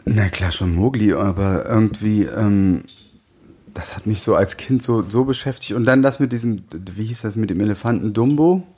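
A man speaks in a low, husky voice. He chats informally as a co-host on a podcast. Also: normal speed, darkish tone. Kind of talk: casual chat.

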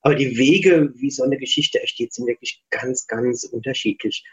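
A man from Germany, an author, answering interview questions over the phone in a relaxed, chatty way with many fillers. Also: mid-range voice, moderate pace. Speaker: book author. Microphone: phone line. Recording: muffled phone-call sound.

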